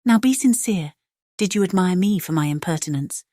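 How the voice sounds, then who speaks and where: Woman playing a spirited, low-toned young lady. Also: lively intonation, normal speed, mellow, no room sound, clean studio sound, natural voice. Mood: playful, teasing. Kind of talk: dramatized classic novel audiobook.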